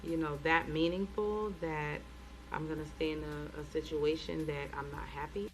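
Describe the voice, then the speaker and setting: Woman, low voice, podcast co-host, casual chat, measured and thoughtful, faint music underneath. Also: steady tone, low-quality recording.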